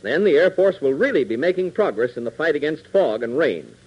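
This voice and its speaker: A man's voice: a little high, sing-song, fairly quick, husky. An American man narrating a 1940s radio serial as its announcer, in a dramatic, punchy scripted style. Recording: dull 1940s radio recording.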